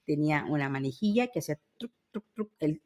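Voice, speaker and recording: A woman's voice: low-pitched, animated, fairly quick, darkish tone. A woman in her fifties hosting a podcast, chatting casually and nostalgically in an enthusiastic storytelling way. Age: 50s. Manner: enthusiastic, storytelling with spoken sound imitations. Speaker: podcast host.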